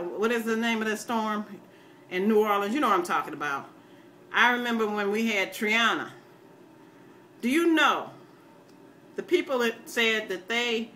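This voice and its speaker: Older woman, American accent, tense bright voice, impassioned rant, blunt, folksy, emphatic, some background noise. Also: mid-range voice. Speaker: online ranter, self-styled grandma. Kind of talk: impassioned off-the-cuff rant to viewers.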